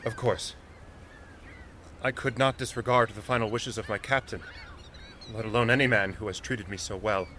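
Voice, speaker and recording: A bright-voiced, earnest young sailor. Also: male voice, fairly low pitch, fairly even intonation, normal speed, long pauses, slight background noise. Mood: solemn. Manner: respectful, formal, sincere. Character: earnest, dutiful young male sailor, eager and honourable. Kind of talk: audio drama acting.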